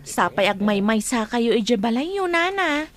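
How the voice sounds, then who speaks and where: A woman's voice: on the high side, sing-song, moderate pace. A woman playing a considerate, chatty young friend in a radio drama. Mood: concerned. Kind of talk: Ilocano radio drama acting.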